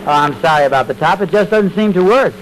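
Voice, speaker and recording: Noisy old time radio, an affable bumbling husband, bit high pitched, folksy and hesitant. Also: male voice, expressive, fast. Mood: sheepish, joking. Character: mild, affable, slightly bumbling sitcom husband. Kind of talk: 1940s-50s radio sitcom acting.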